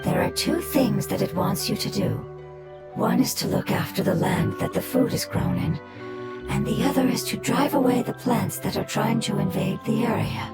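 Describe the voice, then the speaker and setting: Woman as a gentle, higher-voiced young guide. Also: lightly acted. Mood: gentle, grateful. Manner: measured, courteous, reassuring. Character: gentle, polite young female guide translating for a creature. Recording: loud game music, low-quality gameplay capture.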